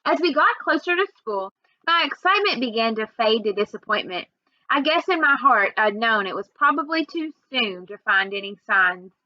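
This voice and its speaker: A woman reading a children's novel aloud in an expressive storytelling way. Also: fairly high pitch, normal speed, dry recording, highs cut off. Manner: expressive, acts out dialogue. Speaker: reader.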